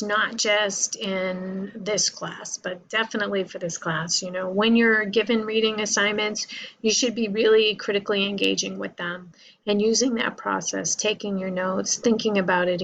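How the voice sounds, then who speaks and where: A woman's voice: medium pitch, a bit bright, slight background noise, rough recording. A woman lecturing informally to college students, explaining in a conversational way, repeating points and often checking in with "right?" and "you know".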